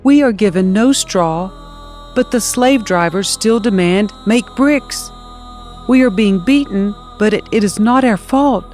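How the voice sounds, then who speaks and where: A woman's voice: medium pitch, a little slow, a little mellow, soft background music, voice pushed up, close to an everyday voice. Oppressed ancient work foreman performed by a woman, desperate, aggrieved pleading for scripture storytelling.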